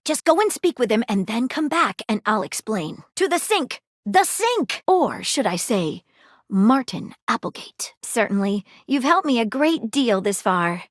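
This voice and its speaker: An eager, flirtatious female sleuth from a video game. Her voice sits a little high and swings around in lively, theatrical lines. She speaks plainly and earnestly, in a very dry, close recording. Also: no room sound. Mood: intrigued, earnest, then excited. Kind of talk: expressive scripted game character dialogue.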